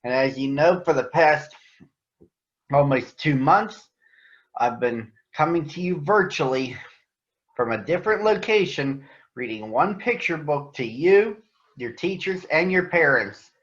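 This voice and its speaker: An American man addressing children and reading a picture book aloud in a deliberate, announcer-like way. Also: on the high side, animated, a bit bright. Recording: echoey room, slightly dull sound.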